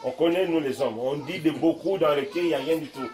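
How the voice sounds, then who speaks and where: A man preaching informally in a home, emphatic and swinging from loud declarations to soft asides. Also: a little high, fast talker, over music. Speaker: visiting preacher. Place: room in a private home. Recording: roomy echo.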